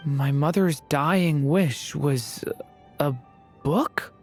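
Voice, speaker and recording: A woman voicing a gentle, polite, slightly shy and earnest young boy in a video game, with a soft, hesitant manner. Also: low-pitched, drawn-out words, heavily acted. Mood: solemn, wondering. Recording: music behind the voice.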